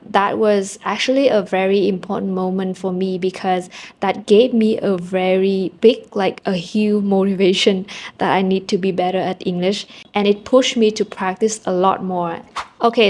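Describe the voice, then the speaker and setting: A woman's voice: medium pitch, darkish tone. A young woman from Vietnam with a Vietnamese accent, speaking English as a second language and telling personal stories to camera in a candid, self-deprecating way. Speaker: vlogger. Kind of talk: casual storytelling to camera. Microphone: small handheld camera mic.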